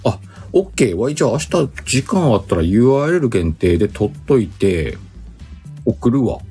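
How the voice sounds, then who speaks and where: A man's voice: mid-range voice, very animated, moderate pace, dark tone, slightly rough, soft background music. A man hosting a casual livestream chat, speaking informally in slang and often chuckling. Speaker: livestream host.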